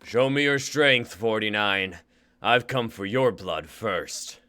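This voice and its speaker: A man's voice: fairly low pitch, expressive, slow pace, pushed and bright, clear, resonant tone, dry recording, full character voice. A man voicing a cruel, menacing executioner who taunts his victim, in a live dramatic reading. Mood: threatening. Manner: cold, taunting. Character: menacing male executioner, half-faced and cruel.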